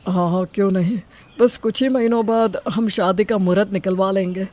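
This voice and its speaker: A woman's voice: mid-range voice, fairly even intonation, normal speed, darkish tone, lightly acted. A woman voicing a meek, reassuring middle-aged father in an audio story, deferential and soothing. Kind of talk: acted Hindi audio story.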